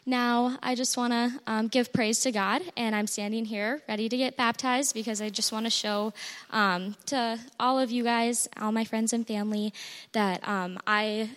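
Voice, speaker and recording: A woman's voice: fairly high pitch, steady tone, normal speed, fairly bright tone. Woman, hesitant spontaneous testimony, long hall reverb. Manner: hesitant, many ums. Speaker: baptism candidate giving testimony. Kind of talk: personal testimony at church. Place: church sanctuary.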